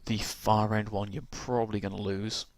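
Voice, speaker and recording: A man casually walking through tabletop game rules, rambling with lots of ums and restarts, his voice gone croaky from talking so long. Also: fairly low pitch, level tone, normal speed, no echo.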